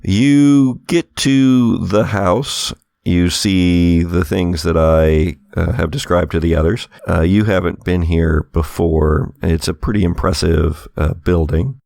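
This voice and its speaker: A man's voice: sits low, slow pace, gravelly, few pauses, a little mellow, no room sound. A man narrating a tabletop roleplaying game as game master, describing scenes in a conversational, slightly hesitant way.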